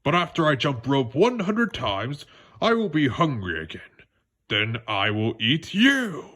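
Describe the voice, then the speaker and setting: A man voicing a hungry old frog ghost from a storybook, making slow, threatening pronouncements. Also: mid-range voice, very animated, fairly bright tone. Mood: menacing.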